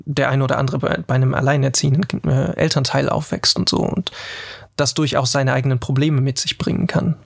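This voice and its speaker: A man's voice: medium pitch, fairly even intonation, fairly quick, creaky, dry recording, clean studio sound. A man in his forties in a casual panel discussion, talking with many hesitations and filler sounds. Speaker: podcast panelist.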